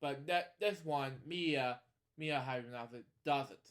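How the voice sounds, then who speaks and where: A man's voice: mid-range voice, unhurried, a bit bright. A man hosting a casual read-along of a children's storybook, drifting into opinionated asides to his listeners. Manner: digressive, opinionated commentary addressed to listeners. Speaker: podcast host.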